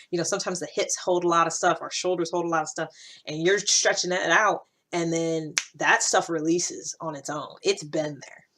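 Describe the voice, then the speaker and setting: A woman's voice: deep voice, fairly bright tone, slight background noise. A woman in her thirties hosting a vlog, talking in a casual, reflective monologue with a rambling, conversational, self-interrupting manner. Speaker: vlog host.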